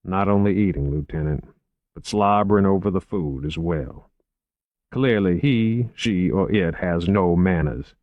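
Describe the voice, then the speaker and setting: A man voicing a cool, refined male agent who speaks in a calm, measured way. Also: deep voice, no room sound, narrow, tinny audio.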